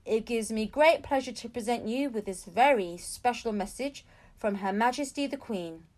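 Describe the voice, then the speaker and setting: A woman's voice: on the high side, normal speed, darkish tone, close to an everyday voice. A courteous male lieutenant of the royal guard from a children's storybook, voiced by a woman. He speaks in a formal, ceremonious way. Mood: proud, kindly. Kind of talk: acted dialogue in a children's storybook.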